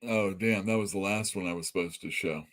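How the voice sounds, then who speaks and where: A man's voice: deep voice, fairly quick, fairly bright tone. Blunt rambling middle-aged man. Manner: blunt, rambling, swears, laughs at own jokes. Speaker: comic shop podcast host. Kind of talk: casual opinionated chat.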